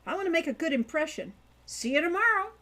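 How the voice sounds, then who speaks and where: A woman's voice: extremely high pitch, big pitch swings, quick pace, bright, forceful tone, pitched above the performer's own voice, heavily acted. A woman voicing a plucky, sly young girl in an audiobook, breezy and cheeky. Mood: cheeky, eager. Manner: breezy, carefully casual, cheeky.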